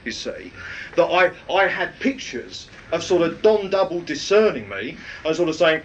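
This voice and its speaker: Loud preacher with lively pitch. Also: male voice, normal speed, dull audio, a little hiss or hum. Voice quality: loud, projecting voice. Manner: rambling, with many fillers. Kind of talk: anecdotal sermon testimony.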